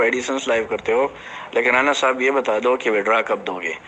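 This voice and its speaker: A man gives an informal, explanatory announcement to his team as a company director, halting at times and mixing in English business terms. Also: medium pitch, bright, forceful tone, slight background noise, low-quality recording.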